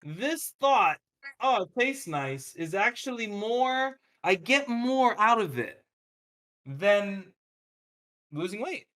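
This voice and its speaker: A man hosting a live coaching call, talking casually and acting out thoughts with sudden loud bursts. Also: high voice, slow pace. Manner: energetic, acts out his own thoughts in a loud excited voice.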